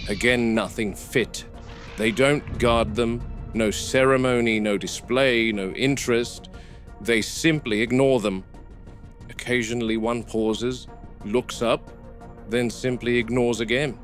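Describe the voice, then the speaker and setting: A man playing a grave, observant game warden, narrating a scripted field log in a measured, dry, reflective way. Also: mid-range voice, lightly acted.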